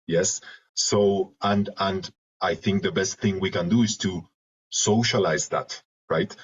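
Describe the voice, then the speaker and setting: A man from Argentina with a Spanish accent, reflecting in an interview in halting, searching phrases over a video call. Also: low voice, steady tone, slightly rough. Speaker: interview guest, creative and therapeutic facilitator. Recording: dull lo-fi video call audio.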